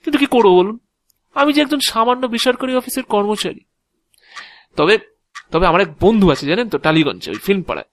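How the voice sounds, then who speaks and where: Audio drama clerk, played by a man: excitable, talkative, sarcastically flattering, very animated intonation, dry close recording. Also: sits high, fast talker, pauses often, dry recording. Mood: gushing, mocking. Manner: animated, gushing, stammering repetitions. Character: excitable, chatty male private-office clerk, sarcastically flattering. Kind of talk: Bengali audio drama dialogue acting.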